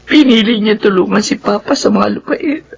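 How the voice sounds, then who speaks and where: A woman's voice: mid-range voice, moderate pace, creaky, a little hiss or hum, natural voice. An actress playing a middle-aged, grieving widow and devoted mother in a radio drama, speaking in an emotional, wistful, heartfelt way. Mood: grieving, regretful. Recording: muffled radio drama with music underneath.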